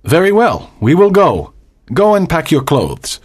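A man's voice: on the high side, huge pitch swings, measured pace, darkish tone, breathy edge, no echo, studio quality, full character voice. An actor playing a stern, cold older doctor and controlling father in a dramatized audiobook, with a hard, commanding manner.

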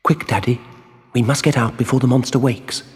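A man voicing a young wooden puppet boy in a storybook reading, speaking with a bright, eager and indignant manner. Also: mid-range voice, steady tone, brisk, husky, big-room sound, pitched above the performer's own voice. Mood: urgent.

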